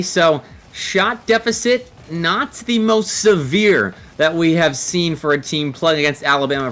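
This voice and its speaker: A man's voice: on the high side, fairly bright tone. A man giving live analytical commentary on a video game match, explaining play in long sentences. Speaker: esports commentator, analyst caster.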